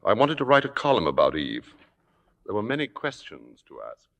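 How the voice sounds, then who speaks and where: An actor playing a suave, worldly theater critic who speaks in urbane, deliberate, formal phrases in an old-time radio drama. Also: male voice, on the low side, sing-song, a bit bright. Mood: smooth narration. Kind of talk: 1950s radio drama acting and narration. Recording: old radio broadcast, reverberant, music behind.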